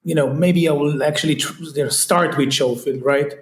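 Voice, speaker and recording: An Israeli-accented man talks loosely through a podcast interview, his voice sitting a little higher than most men's. He gropes for phrasing and fills gaps with "kinda" and "you know". The sound is roomy and echoey. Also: steady tone, normal speed. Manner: searching, heavy on fillers like "kinda" and "you know". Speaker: guest, retail company CEO. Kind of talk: casual interview chat.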